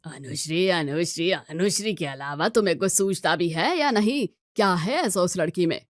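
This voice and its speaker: A woman's voice: lowish voice, lively intonation, normal speed, no room sound, studio quality, natural voice. A woman voicing a strict but caring middle-aged mother in an audio story, scolding and questioning. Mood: exasperated.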